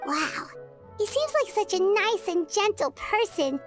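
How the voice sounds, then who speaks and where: A chirpy tiny game sprite. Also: female voice, very high-pitched, animated, unhurried. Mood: warm. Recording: studio game voice with loud music.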